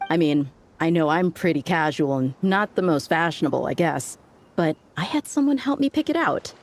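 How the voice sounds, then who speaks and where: An actress playing an easygoing, confident female mercenary in a video game dub, talking in a relaxed, joking way. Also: low-pitched, a little hiss or hum, close to an everyday voice.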